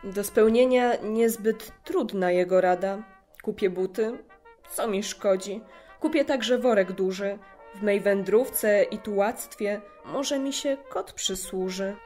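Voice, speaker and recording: A woman's voice: mid-range voice, slow, darkish tone, faint music behind, close to an everyday voice. A woman playing a young orphan boy, earnest, hopeful and resolute, speaking verse in an audio drama. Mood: pondering.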